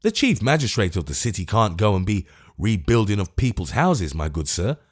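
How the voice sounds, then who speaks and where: Man doing a testy fussy lord mayor, self-important, fretting, lively high voice, audiobook. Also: normal speed. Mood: testy, fretful. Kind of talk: audiobook character voice.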